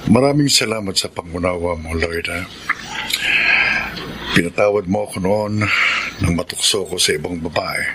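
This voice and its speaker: A man's voice: low voice, normal speed, pauses often, noisy background, natural voice. A man acting a remorseful, grateful husband and father in a Filipino radio drama, earnest and subdued.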